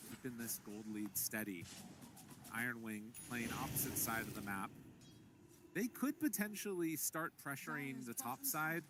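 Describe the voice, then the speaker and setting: A man's voice: medium pitch, animated, moderate pace. A man doing live play-by-play commentary on an esports match. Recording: clear background noise behind voices.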